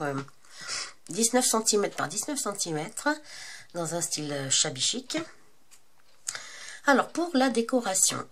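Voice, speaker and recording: A woman's voice: fairly low pitch, slight background noise. A woman with a French accent hosting a crafting tutorial while she has a cold, talking casually and hesitantly, with many fillers and self-corrections. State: congested with a cold. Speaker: crafting channel host.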